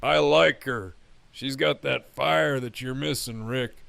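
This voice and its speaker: A deeply gravelly male villain, acted by a man for an audio drama, close and dry. He is a swaggering gang leader who drawls slowly, taunting and crude, enjoying his own menace. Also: a bit bright, dry recording, exaggerated voice. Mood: mocking, menacing. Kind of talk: full-cast fan audio drama acting.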